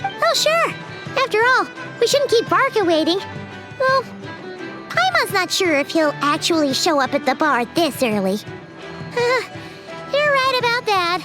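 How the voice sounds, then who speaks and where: A woman's voice: extremely high pitch, sing-song, a little slow, long pauses, full character voice. A woman voicing a small, chatty fairy girl companion in a video game, talking eagerly and curiously. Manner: eager, chattering, refers to herself by name. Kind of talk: game voice acting. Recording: game dialogue over background music.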